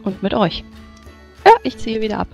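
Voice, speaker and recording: A woman's voice: mid-range voice, moderate pace, creaky, a little mellow. A woman playing a tabletop roleplaying game, speaking politely and formally in character. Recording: music playing behind the voice.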